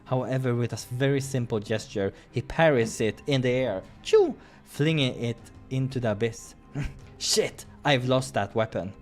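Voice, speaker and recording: Male playthrough host: mid-pitched, expressive reader of game text, casual asides, faint music underneath. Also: a little slow. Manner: expressive storytelling. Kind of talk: reading a visual novel aloud with casual asides.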